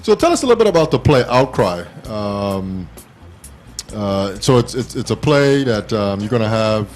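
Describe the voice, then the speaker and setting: A man hosting a casual talk show interview, speaking haltingly, repeating words and murmuring short acknowledgements. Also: lowish voice, sing-song, darkish tone, faint music behind.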